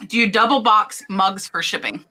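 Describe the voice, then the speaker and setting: Live stream host, woman, bright forceful voice, chatty, reads comments. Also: medium pitch, moderate pace. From Florida. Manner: chatty, laughing, reading viewer comments.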